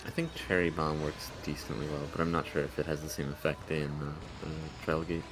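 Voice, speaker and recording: A man's voice: extremely low pitch, level tone, fairly quick, noisy background. A man from the United States, giving easygoing casual commentary alongside a game.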